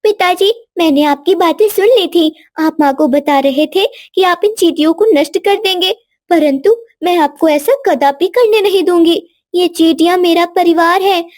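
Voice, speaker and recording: Woman as a very high-pitched stubborn little princess. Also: a little mellow. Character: stubborn, loving young princess, a little girl. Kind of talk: Hindi cartoon moral story dialogue.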